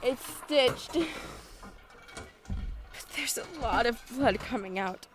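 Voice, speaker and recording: Horror drama victim: actress as frightened, wounded teacher, high voice, halting and dragging delivery. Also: female voice, expressive, over music. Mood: pained, desperate. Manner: halting, breaking sentences into fragments. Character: dazed, frightened, injured kindergarten teacher. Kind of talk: horror audio drama acting.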